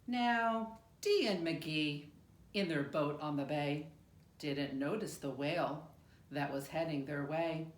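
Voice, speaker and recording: Female storybook reader, lowish voice, very unhurried and expressive, in an echoey room. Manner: expressive, measured storytelling with deliberate pauses. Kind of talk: read-aloud of a rhyming children's picture book. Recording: roomy, echoing room sound.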